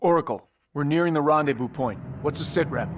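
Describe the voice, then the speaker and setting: An actor playing a brisk, demanding special forces commander in a military game, with a clipped, authoritative delivery. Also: male voice, fairly high pitch, natural voice. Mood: focused. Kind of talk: military game cutscene dialogue. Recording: loud music under the voice.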